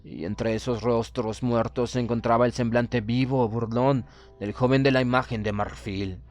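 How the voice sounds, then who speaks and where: A man narrating a horror audiobook in the first person, with a measured, solemn and literary delivery. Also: mid-range voice, steady tone, darkish tone, soft background music.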